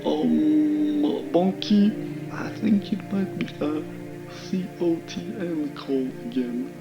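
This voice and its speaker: An actor plays a muddled creature boy from a surreal horror cartoon. The voice is halting yet insistent, dull and lo-fi, with clear music behind it. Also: medium pitch. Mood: pushy, halting. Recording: muffled clip under loud music.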